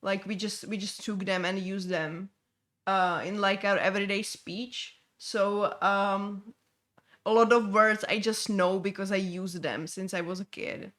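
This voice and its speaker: A young woman from Czechia with a Czech accent, chatting casually as she streams a game, halting with many fillers and restarts. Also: medium pitch, fairly even intonation, a bit bright. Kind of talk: casual gameplay chat.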